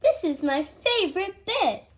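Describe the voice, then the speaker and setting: A woman voicing an excited, cheerful little girl pig in a children's storybook, eager and exclaiming. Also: very high-pitched, measured pace, voice pushed up, exaggerated voice.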